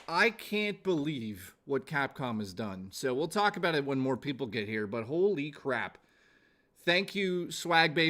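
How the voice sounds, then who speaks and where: A man's voice: medium pitch, pushed and bright. A man in his forties chatting casually to viewers as a gaming livestreamer, informal and exclamatory, with some swearing. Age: 40s.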